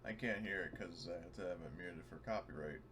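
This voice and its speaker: A man's voice: low-pitched, fast. A man playing a tabletop roleplaying game, chatting casually, picked up from a distance with noise around him. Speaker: tabletop RPG player. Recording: distant mic, clear background noise.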